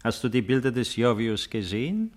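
A man playing a proud, brooding fallen Roman emperor in a 1950s German radio play, speaking in a solemn, measured, stately way. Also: mid-range voice, sing-song, a little mellow. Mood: grave, questioning.

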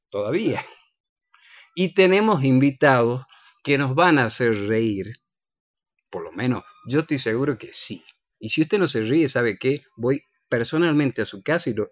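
A man's voice: medium pitch, no echo. An Argentine man presenting a radio show in a conversational way, with playful, teasing banter. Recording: dull, lo-fi radio recording.

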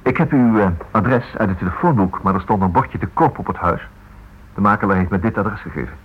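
Halting husky writer on narrow-band radio. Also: male voice, on the low side, expressive. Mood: polite. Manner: halting, polite, repeats himself. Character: hesitant, polite, probing male writer doing research. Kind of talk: Dutch radio play acting. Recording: muffled, narrow-band old radio recording.

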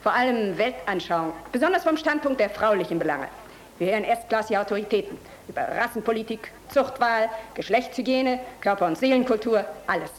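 Actress as a brazen, high-voiced young fanatic woman. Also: expressive, brisk, close to an everyday voice. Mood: fervent, boastful. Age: young adult. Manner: brazen, taunting, fervent. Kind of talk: German radio drama acting.

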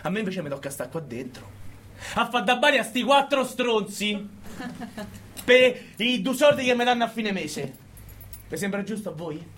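An actor playing a cynical, resentful prison guard with a Roman accent, goading the listener colloquially in a stage monologue. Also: male voice, high voice, sing-song, normal speed, pauses often, fairly bright tone, a little hiss or hum. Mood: resentful grumbling. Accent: central Italian, Roman. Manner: colloquial, sneering, goading with repeated tag questions. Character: cynical, resentful, underpaid male prison guard, scornful of inmates. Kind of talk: theatrical monologue addressed to an audience.

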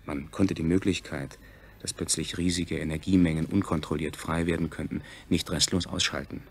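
A grave chronicler of a subjugated future people in a science fiction radio play. His voice is very low, with a gravelly creak and a husky rasp. He narrates in a measured, declamatory and deliberate manner. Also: steady tone, full character voice. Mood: factual, grave. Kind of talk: scripted radio drama narration.

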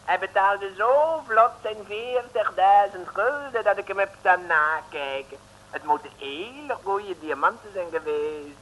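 Sly Italian dealer, high animated voice, halting and unhurried, old radio drama. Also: male voice, full character voice. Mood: pleased, explaining. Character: hesitant, pleased Italian male diamond dealer. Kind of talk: 1960s radio serial acting. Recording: old noisy radio recording, faint hiss.

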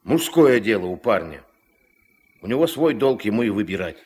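An actor playing a gruff, terse old riverboat skipper and grandfather in a 1970s Soviet radio drama, giving orders in colloquial speech. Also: big pitch swings, moderate pace, darkish tone. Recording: noisy old mono radio play, engine rumble.

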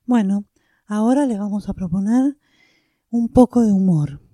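A woman's voice: mid-range voice, moderate pace, mellow, dry recording, studio quality. A woman with an Argentine accent hosting a radio show and introducing its segments.

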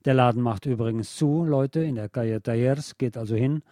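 A man hosting a late-night radio show, delivering a literary scripted monologue in a deliberate, poetic storytelling way. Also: medium pitch, warm tone, dry recording, studio quality. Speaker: radio host. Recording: music playing under the voice. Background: music.

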